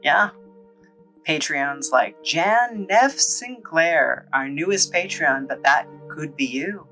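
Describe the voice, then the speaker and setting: Bright, echoey voice of a playful reviewer. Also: mid-range voice, animated, takes it slowly. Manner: playful, tongue-in-cheek. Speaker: host of a comic book review show. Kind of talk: casual scripted review with asides. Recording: echoey, distant microphone, music underneath. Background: music.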